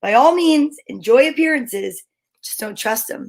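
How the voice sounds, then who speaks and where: A woman hosting an online story time, speaking in a playful, expressive way as if chatting casually with viewers. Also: high voice, a little slow. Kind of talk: casual livestream chat with short read-aloud passages.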